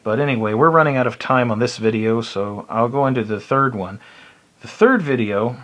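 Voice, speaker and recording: A man's voice: mid-range voice, normal speed, fairly bright tone. An American man chatting casually and unscripted as he explains his hobby, in a rambling, plain-spoken and opinionated way. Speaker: shortwave radio hobbyist giving tips.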